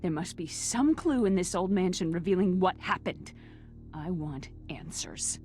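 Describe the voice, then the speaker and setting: A woman's voice: lowish voice, lively intonation, unhurried, a little mellow, faint music behind. An actress playing a distressed but determined young woman in a video game, with a terse manner. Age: young adult. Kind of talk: dramatic video game cutscene acting.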